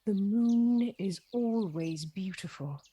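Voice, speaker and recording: A woman's voice: medium pitch, warm tone, breathy edge. A woman playing a proud, genteel reptilian lady of an ancient race in an audio drama, speaking formally and precisely. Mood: fond, teasing. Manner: formal, measured, precise, teasing.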